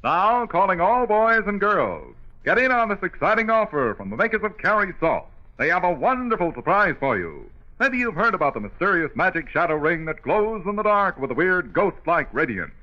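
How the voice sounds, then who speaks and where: A man's voice: sits high, big pitch swings, moderate pace, few pauses. An American man delivering an enthusiastic vintage radio commercial aimed at children. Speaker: commercial announcer. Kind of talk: 1940s radio ad pitch. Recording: dull old radio broadcast recording.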